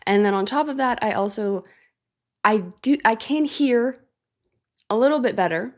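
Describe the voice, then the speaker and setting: A woman's voice: on the high side, normal speed, a little mellow. A woman hosting a music reaction channel, sick and congested with a nasal voice, chatting casually and thinking aloud with fillers. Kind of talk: casual reaction chat to camera.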